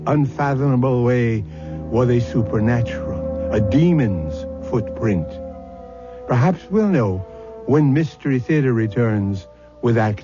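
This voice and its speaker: Slow, ominous male radio mystery host. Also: mid-range voice. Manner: theatrical, ominous, deliberate. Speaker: host and narrator. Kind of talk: suspenseful scripted radio drama narration. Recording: dull old radio recording. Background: music.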